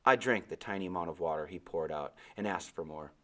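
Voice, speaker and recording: A man with a fairly low voice reads a storybook aloud. He delivers it as scripted narration, in the role of the narrator. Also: a little fast, a bit bright.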